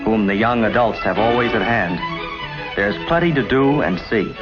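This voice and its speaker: A man's voice: fairly low pitch, animated, normal speed. Male narrator, American, 1950s promo-film script read, music underneath, muffled vintage soundtrack. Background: background music.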